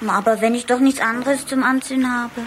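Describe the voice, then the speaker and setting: A woman's voice: fairly high pitch, steady tone, a little fast, slight background noise, lightly acted. A woman voicing a sweet, eager little water-sprite boy, girlish and childlike, in a German children's radio play. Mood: pleading, curious.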